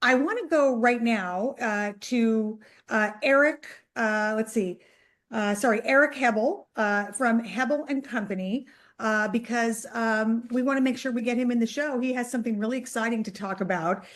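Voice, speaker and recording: A woman's voice: fairly high pitch, moderate pace. A woman hosting an online executive panel, introducing guests in an upbeat, chatty way with many fillers. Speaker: host.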